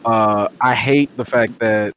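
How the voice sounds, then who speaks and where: A man's voice: slow, a little hiss or hum, low-quality recording. A man, a movie reviewer as interview guest, talking in a casual, passionate way. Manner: animated, passionate, jumps up in pitch for quoted voices. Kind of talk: casual conversation.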